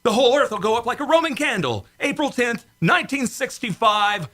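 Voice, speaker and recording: Frantic, bright-voiced male test pilot played by an actor. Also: very high voice, expressive, fairly quick, full character voice. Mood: pleading, desperate. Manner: urgent, pleading, stammering. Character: frantic young test pilot desperate to stop a bomb. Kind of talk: amateur radio play acting.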